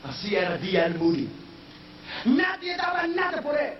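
A man preaching a sermon in a language that is not his first, slipping in English phrases and rising into shouted, sung-out peaks. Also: extremely high pitch, huge pitch swings, measured pace. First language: not Spanish. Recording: echoey, distant, dull sound with faint noise.

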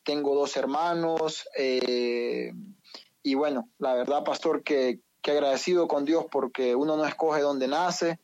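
A man's voice: on the high side, steady tone, measured pace, a little mellow, no room sound, highs cut off. Hesitant young Honduran man. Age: young adult. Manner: hesitant, many fillers and repeated words. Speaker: guest, professional footballer.

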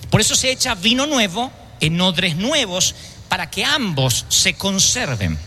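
A man's voice: sits high, very animated, measured pace, bright, forceful tone. A man preaching a sermon to a congregation, emphatic and fond of rhetorical questions. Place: church service.

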